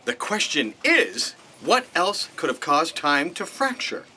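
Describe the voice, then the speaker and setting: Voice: mid-range voice, sing-song, measured pace, pushed and bright, heavily acted. An actor playing an earnest, puzzled galactic adventurer who keeps asking questions in a comedy audio drama. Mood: inquisitive.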